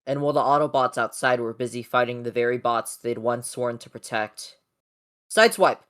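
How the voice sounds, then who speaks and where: A hobby narrator reads comic captions at a middle pitch. The style is scripted storytelling for an amateur dub. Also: flat delivery, fairly quick, a bit bright.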